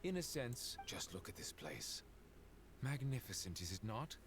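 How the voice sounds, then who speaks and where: A man's voice: mid-range voice, sing-song, measured pace. A man voicing a grandiose old servant of a desert king in an anime game, formal and lofty. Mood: lofty, regretful. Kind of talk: anime game dialogue acting. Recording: clear background noise.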